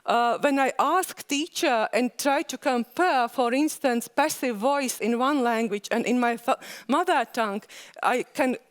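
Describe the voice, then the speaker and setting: High-voiced woman. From Latvia. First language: Latvian. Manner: halting non-native phrasing, frequent "uh" pauses. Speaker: conference speaker. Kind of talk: academic conference presentation.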